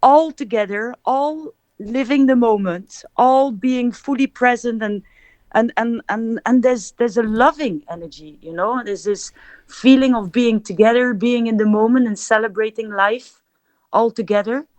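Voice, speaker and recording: A woman's voice: a little high, a little slow, darkish tone, no room sound. A young adult woman from Belgium, a DJ, talking reflectively in a podcast interview with many restarts. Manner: reflective, many restarts, speaks of feeling and energy. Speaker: DJ guest.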